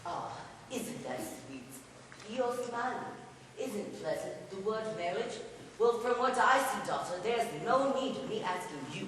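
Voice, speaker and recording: A woman playing a cranky, bossy old hypochondriac father in an amateur stage comedy, loudly declaiming, recorded from far off in an echoing hall. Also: medium pitch, expressive, a little slow, lightly acted. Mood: pleased, pompous. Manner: loud theatrical scolding and declaiming. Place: large echoing room at a staged play. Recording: echoey hall from far off, background noise.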